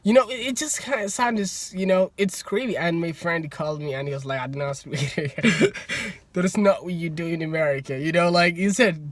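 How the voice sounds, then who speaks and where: A man's voice: high-pitched, no echo. A young man from Somalia with a Somali accent, casually telling stories as a student and acting out the conversations. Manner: animated, acts out both sides of conversations, laughs often. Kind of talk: casual storytelling.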